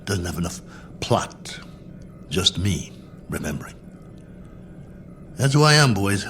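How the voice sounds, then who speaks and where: Low-voiced lonely astronaut, music behind. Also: male voice, very animated, slow pace, a bit bright, exaggerated voice. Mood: wistful, resigned. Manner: wry, musing, self-mocking. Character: lonely, bored male astronaut colonel, lone probe pilot. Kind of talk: radio drama acting, spoken log entries.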